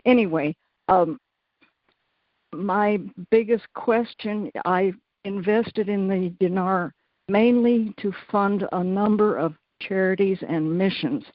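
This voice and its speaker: Female caller, hesitant and halting, dry lo-fi line. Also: medium pitch, dry recording. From Colorado. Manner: hesitant, many fillers and restarts. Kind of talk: phone-in question. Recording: dull lo-fi call audio.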